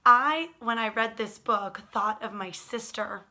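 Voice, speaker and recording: A woman doing an animated, expressive children's storytime read-aloud, speaking directly to young listeners with friendly asides. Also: a little high, measured pace. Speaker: teacher reading picture books aloud to children.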